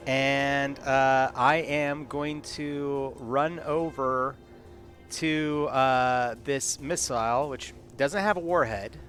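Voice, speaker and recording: A man's voice: mid-range voice, steady tone, very slow, fairly bright tone. A man playing in a tabletop roleplaying game, talking through his moves in casual chat. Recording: music behind the voice. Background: background music.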